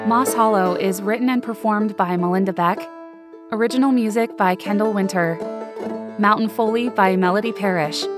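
A woman giving the spoken credits and sign-off at the end of a fiction podcast. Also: mid-range voice. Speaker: writer, performer and narrator of a fiction podcast.

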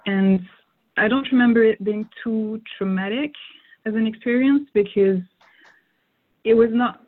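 A woman's voice: fairly high pitch, a little slow. A young woman whose first language is French, answering interview questions thoughtfully with frequent fillers and restarts. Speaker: interview guest. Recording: phone-like narrow sound.

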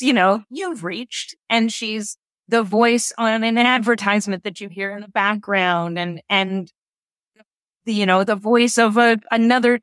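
A woman's voice: mid-range voice, dry recording. A woman speaking as a guest in a casual interview, telling anecdotes in a rambling, conversational way. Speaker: guest, audio drama creator.

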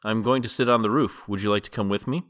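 A man voicing a quiet, mysterious young woman who speaks softly and gently, in an audiobook-style reading. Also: lowish voice, fairly even intonation, fast, natural voice. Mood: gentle. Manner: soft and gentle.

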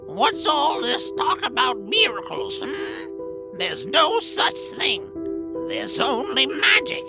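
Slow, swooping old witch. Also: high-pitched, sing-song, loud music behind the voice, full character voice. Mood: sly, scornful. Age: older. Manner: drawn-out, sly, theatrical delivery. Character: old crone witch, sly, haughty, bargaining.

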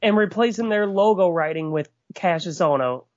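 A man's voice: high voice, moderate pace. A man co-hosting a casual wrestling review podcast, brash and teasing, often laughing as he talks. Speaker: podcast co-host. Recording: dull, dry recording.

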